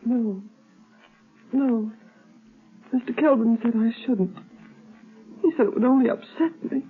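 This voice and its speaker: A woman's voice: on the high side, measured pace, husky. An actress playing a grieving, trusting young woman in a vintage radio drama, speaking in a hesitant, plaintive way. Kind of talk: 1940s radio acting. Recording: tinny old radio broadcast with faint music.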